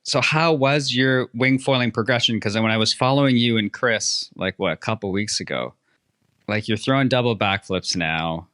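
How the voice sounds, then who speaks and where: Hombre, presentador de pódcast, voz brillante y tensa, charla animada y alentadora, sonido seco. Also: male voice, lowish voice, normal speed, fairly bright tone, dry recording, studio quality. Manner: upbeat, chatty, encouraging interviewer. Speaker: podcast host. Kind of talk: casual interview chat.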